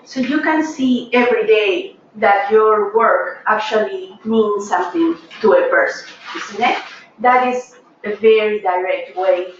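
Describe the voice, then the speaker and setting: A woman's voice: mid-range voice, measured pace, a little hiss or hum. Peruvian-accented woman lecturing, echoey room. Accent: Peruvian Spanish. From Peru. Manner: earnest, thoughtful, frequent ums and restarts. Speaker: professor, lecturer. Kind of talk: academic lecture to a seminar room. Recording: echoey room, dull lo-fi sound.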